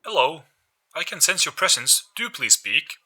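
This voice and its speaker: An old British gentleman's voice speaks from a magical gramophone, acted by a man. He is genteel, eagerly polite and joyful, pitched well up in a slightly husky voice over storybook music. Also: very animated, moderate pace, heavily acted. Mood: eager, welcoming. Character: welcoming older British man's voice inside a magical gramophone.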